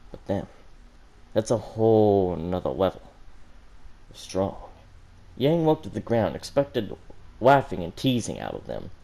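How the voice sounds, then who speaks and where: A man's voice: lowish voice, mellow. A man reading a story aloud as an amateur, stumbling over words and muttering corrections to himself. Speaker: fan fiction reader on a gaming channel.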